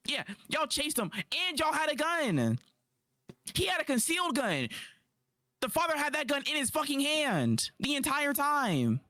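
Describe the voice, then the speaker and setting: A man doing casual livestream reaction commentary, incredulous and quick to rebut, on a close mic. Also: very high voice, no echo. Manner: animated, incredulous, rapid rebuttals.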